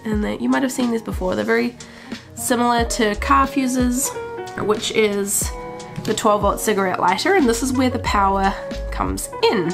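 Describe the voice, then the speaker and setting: A woman's voice: fairly high pitch, moderate pace, vocal fry, hardly any pauses. Congested woman explaining her wiring. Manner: chatty, self-taught, excitable. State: congested from flu. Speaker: van-build vlogger. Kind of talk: casual DIY explainer. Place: inside a van under construction. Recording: guitar music playing underneath.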